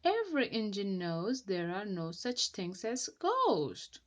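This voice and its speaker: A woman's voice: medium pitch, very animated, takes it slowly. A woman voicing a cheeky, scoffing little male tank engine with a peeping manner in a children's storybook.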